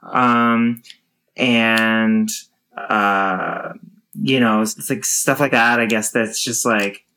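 A woman in her thirties with a low voice, talking casually and thoughtfully with many fillers and restarts. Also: steady tone, extremely slow, vocal fry, sharp tone, clean tone, rough recording. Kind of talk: casual conversation.

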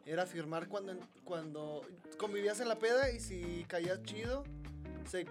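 A man's voice: high voice, normal speed, music in the background. A man, a podcast guest who rambles through stories and laughs as he talks. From Mexico. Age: 20s. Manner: rambling storyteller, laughs while talking. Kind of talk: casual podcast chat.

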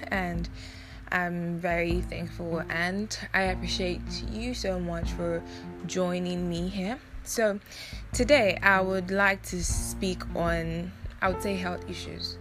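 A woman's voice: fairly low pitch, fairly even intonation, a little slow, music in the background. A young woman from Nigeria, hosting a casual solo podcast in a reflective way, with many fillers and restarts. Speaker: podcast host.

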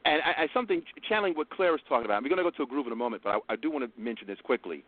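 A man's voice: medium pitch, lively intonation, rapid-fire, dry recording. A man hosting a talk podcast, giving opinionated commentary in an earnest, insistent way. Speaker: podcast radio host. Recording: dry, phone-like narrow band sound.

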